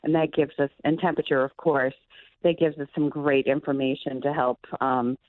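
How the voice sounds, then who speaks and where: A woman's voice: low-pitched, level tone, normal speed, no room sound. A thin, phone-like line carries a woman's voice with hardly any room sound. She is a physician and medical director answering interview questions in an explanatory way.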